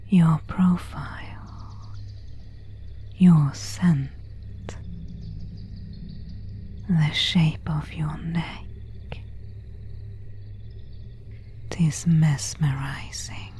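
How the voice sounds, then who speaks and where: Slow whispering seductive vampire woman, acted by a woman. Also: low voice, mellow. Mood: seductive, tender. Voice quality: breathy, unvoiced whisper. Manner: slow, formal, archaic, intimate phrasing. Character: pale, elegant vampire woman of an ancient family, seductive. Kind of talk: whispered ASMR roleplay.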